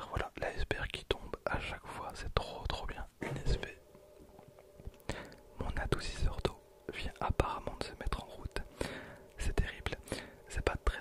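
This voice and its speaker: A person in their twenties whispers in a casual ASMR style. The voice is breathy and unvoiced, with a crackly, creaky texture. The delivery is hushed and unhurried, with phrases often gently repeated. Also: very high voice. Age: 20s. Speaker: ASMR video creator. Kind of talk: whispered ASMR, casual commentary on card pack openings.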